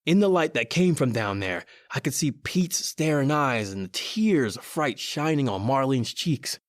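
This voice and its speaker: Man acting dramatic, animated frightened American crook. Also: mid-range voice, a little slow, no echo, studio quality, natural voice. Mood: tense, haunted. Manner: dramatic, urgent. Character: frightened male criminal narrating his doom. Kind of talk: old-time radio horror acting.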